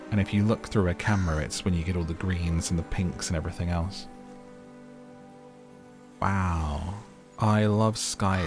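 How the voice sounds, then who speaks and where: A man giving casual gameplay commentary, chatty and musing aloud. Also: sits low. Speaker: gaming YouTuber.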